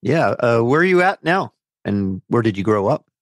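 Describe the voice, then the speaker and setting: A man's voice: mid-range voice, sing-song, moderate pace. A man hosting a podcast interview, asking his guest casual questions.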